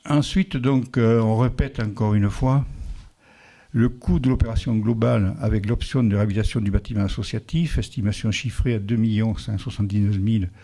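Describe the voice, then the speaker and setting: A mayor speaks into a handheld mic at a village public meeting. His voice is low, gravelly and dark. He talks haltingly, stops and restarts his phrases, and rounds his figures loosely. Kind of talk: public meeting presentation and answers.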